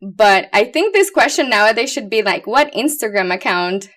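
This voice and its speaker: A woman's voice: mid-range voice, lively intonation, normal speed, fairly bright tone. A woman in her thirties with a Puerto Rican accent, speaking candidly and playfully as a guest expert in a casual podcast interview. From Puerto Rico. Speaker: guest expert, pediatric liver doctor.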